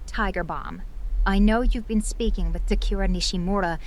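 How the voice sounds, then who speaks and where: A woman's voice: mid-range voice, moderate pace, close to an everyday voice. A cool-headed princess who cuts through pleasantries with curt, icy firmness , as a woman plays her. Her voice sits in a medium register over faint noise in this sci-fi audio drama. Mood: cold. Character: cold, firm young princess leader.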